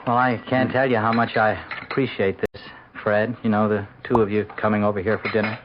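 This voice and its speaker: An actor playing an anxious, hesitant forty-year-old husband who stammers, in an old-time radio drama. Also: male voice, fairly low pitch, flat delivery, a little fast, lightly acted. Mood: shaken, grateful. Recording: dull, faintly noisy old radio recording.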